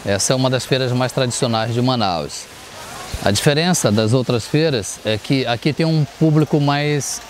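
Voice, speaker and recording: A middle-aged man guiding viewers through a riverside fish market, explaining its history in a measured way. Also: medium pitch. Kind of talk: on-camera tour explanation. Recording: outdoor street noise behind.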